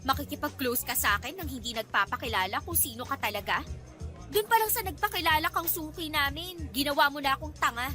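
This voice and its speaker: A woman's voice: sits high, animated, fast, pushed and bright, faint music behind. An actress playing an angry, scornful young woman who confronts and scolds a suitor in a Philippine radio drama. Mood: indignant, scornful.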